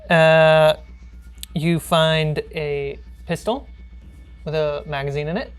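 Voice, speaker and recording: Low-voiced woman running a game. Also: level tone, slow pace, clear, resonant tone, soft background music. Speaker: game master. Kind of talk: narrating a tabletop role-playing game.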